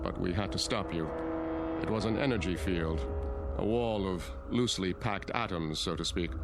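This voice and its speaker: An actor in a radio drama playing a courteous, self-assured leader of a secretive utopian town, speaking in a measured, explanatory, genteel way. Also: male voice, low-pitched, background noise. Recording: music under radio drama dialogue.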